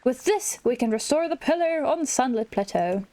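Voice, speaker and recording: A woman reading aloud the lines of a helpful old male wizard from a video game, in a measured, deliberate way. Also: high voice, animated, dark tone. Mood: explaining.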